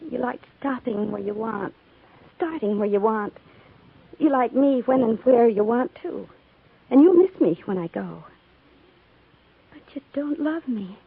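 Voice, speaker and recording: An American actress playing a tender, resolute frontier woman with wistful longing, measured and emotional, in 1950s radio drama style. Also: on the high side, animated, vocal fry, husky, full character voice. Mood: sad, resolute. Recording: tinny, hissy 1950s radio recording.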